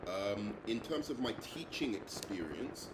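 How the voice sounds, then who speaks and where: A man's voice: on the low side, expressive, a little slow. A young English man with a London accent, introducing himself as an English teacher, with frequent ums and uhs. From England. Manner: hesitant, many ums and uhs. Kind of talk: self-introduction video. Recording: echoey room with noise.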